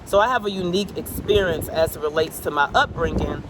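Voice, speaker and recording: A man's voice: a little high, fairly quick, noisy background. A young adult man from the United States hosting an interview with his father, eager and affirming, with frequent fillers. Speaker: interviewer, host. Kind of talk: conversational interview.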